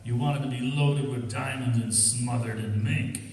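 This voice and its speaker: A man acts out a smooth crook in a radio crime play, soothing on the surface and sneering underneath. He sounds far from the microphone in a big, reverberant hall. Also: mid-range voice, fairly even intonation, normal speed, a little mellow, lightly acted. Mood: mock soothing. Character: smooth, menacing male racketeer turning on his partner. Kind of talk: old-time radio crime drama acting. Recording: echoey hall, far from the mic.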